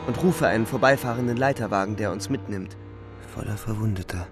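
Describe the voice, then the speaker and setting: An actor playing a wounded young soldier who narrates a radio drama in a terse, urgent, defiant way. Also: male voice, on the low side, fairly quick, faint music behind, natural voice. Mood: tense, grim. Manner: terse, urgent present-tense telling.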